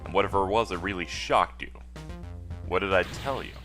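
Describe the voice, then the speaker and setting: A man's voice: sits low, moderate pace, sharp tone, soft background music. A man voicing a sardonic, probing amnesiac dead man in a detective game. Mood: accusing. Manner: sardonic, questioning, pressing. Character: wry amnesiac dead man, a needling amateur investigator.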